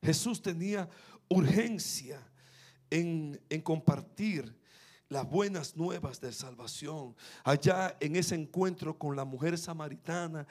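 A man's voice: on the high side, slow pace, a little mellow. A man preaching a sermon, fervent and repetitive, building to emphatic peaks. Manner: fervent, rising to emphatic peaks, repeats phrases.

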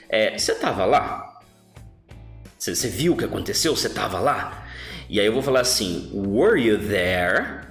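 A man's voice: on the low side, fairly bright tone, echoey room. A man hosting a casual podcast lesson as an English teacher, chatty and keeps checking in with his listeners.

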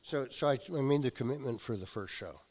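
A man's voice: medium pitch, brisk. A man in his sixties, a company founder, telling stories as a guest and acting out the quotes in them. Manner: animated storyteller acting out quotes. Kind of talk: casual storytelling.

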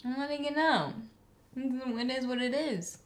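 A woman's voice: high-pitched, a little slow. A young woman co-hosting a podcast, chatting casually, teasing and giggling, with lots of "like". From Filipino family background. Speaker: podcast co-host. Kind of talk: casual chat.

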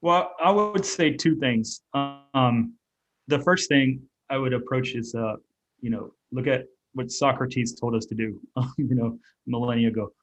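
A man, German his first language, talks at a middle pitch in loose, rambling sentences, circling back with fillers and restarts as he thinks aloud. The sound is a little muffled and lo-fi. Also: unhurried. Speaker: author and artist guest. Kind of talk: casual interview talk.

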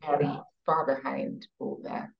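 A woman with a London accent, in her thirties, chatting as a podcast guest in a relaxed way with many ums and soft trailing endings. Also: very deep voice, unhurried, vocal fry, highs cut off, a little hiss or hum. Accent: British, London. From England. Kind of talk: casual podcast interview.

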